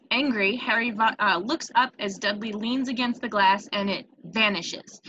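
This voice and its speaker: A woman's voice: a little high, sharp tone, muffled recording. A woman reading screenplay stage directions as narrator, with small stumbles, on a noisy home recording with clicks.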